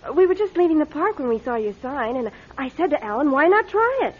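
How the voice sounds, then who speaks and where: High-voiced, earnest young woman. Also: very animated, quick pace. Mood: polite, eager. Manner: polite, eager, insistent. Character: earnest, pleading, determined young engaged woman. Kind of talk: classic radio drama acting. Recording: muffled old radio drama recording.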